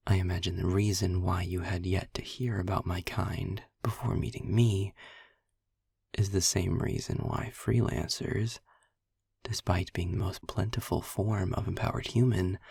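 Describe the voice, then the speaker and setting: A man voicing a husky, slow-talking sarcastic demon. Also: low-pitched, a little mellow, no room sound, studio quality, exaggerated voice. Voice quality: breathy, husky, gravelly near-whisper. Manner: sardonic, deliberate, wordy. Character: sarcastic, world-weary male demon, articulate and wry. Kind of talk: intimate audio roleplay monologue to the listener. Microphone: close mic, dry.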